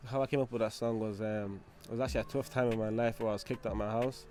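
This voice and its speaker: A man's voice: on the low side, steady tone, fast, a little mellow. A man, talking about his life as a rapper in a loose, chatty way. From Angola. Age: 20s. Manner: loose and chatty, leaning on "you know what I mean" and "obviously". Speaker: rapper telling his story. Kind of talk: casual documentary talk.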